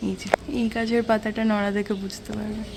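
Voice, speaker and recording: A woman's voice: medium pitch, flat delivery, fast talker. A woman with a West Bengali accent telling everyday stories casually to viewers in a chatty home vlog. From West Bengal, India. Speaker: vlogger. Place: small one-room home. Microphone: handheld camera at arm's length. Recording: faint background noise.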